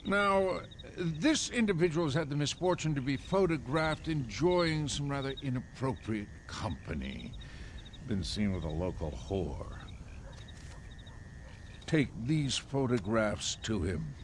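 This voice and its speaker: An actor playing an oily crooked political fixer in a Western video game, speaking in florid, theatrical, pompous phrases. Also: male voice, mid-range voice, big pitch swings, a little slow, a little hiss or hum. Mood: slick, pompous. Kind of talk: video game cutscene acting.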